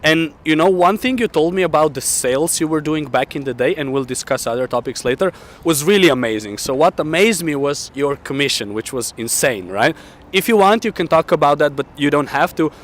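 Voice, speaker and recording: A man in his thirties hosting a casual outdoor interview, energetic and chatty with lots of fillers, holding a handheld mic. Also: a little high, a little fast. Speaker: host. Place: outdoor city square in winter. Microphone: handheld mic, camera close.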